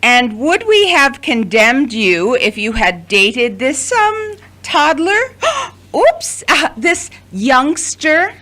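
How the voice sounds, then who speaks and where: A bossy, wry mother played by a woman in an audio drama, her pitch swinging widely. She speaks slowly and theatrically in a bright, forceful voice. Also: very animated, full character voice. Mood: pointed, coaxing. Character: domineering, wry mother of adult children.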